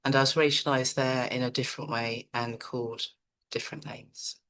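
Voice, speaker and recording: A middle-aged woman from Nigeria, a psychotherapist presenting an online seminar in a calm, measured, reflective way. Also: extremely low pitch.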